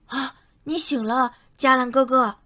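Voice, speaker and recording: High-pitched sweet little boy. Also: fairly even intonation, measured pace, bright, forceful tone, soft background music. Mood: concerned, sweet. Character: sweet eager little boy, caring and cheerful. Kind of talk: Chinese animated drama voice acting.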